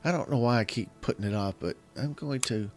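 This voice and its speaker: A man with a slightly scratchy voice, casually commenting while he plays a strategy game and thinking aloud in halting, self-correcting phrases. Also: medium pitch, a little mellow. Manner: thinks aloud, halting, restarts mid-sentence. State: slightly scratchy voice from illness and yelling. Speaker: gaming channel host. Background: faint game music.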